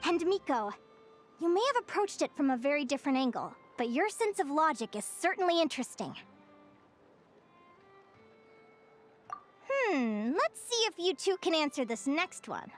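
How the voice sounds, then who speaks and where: A woman's voice: sits high, big pitch swings, brisk, soft background music. A woman playing an encouraging, playful young teacher in a video game, with a sweet, composed manner.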